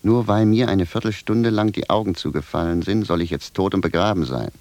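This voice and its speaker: Deep-voiced, stubborn village cobbler. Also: male voice, brisk, darkish tone, no room sound. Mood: indignant, stubborn. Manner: blunt, aggrieved, simple. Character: plain-spoken, bewildered, stubborn village cobbler, grumbling indignantly. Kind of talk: theatrical radio drama dialogue.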